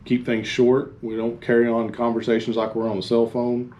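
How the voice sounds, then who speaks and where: A man giving an informal instructional talk as a fire chief, halting and plain-spoken. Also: mid-range voice, monotone, darkish tone. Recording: faint background noise.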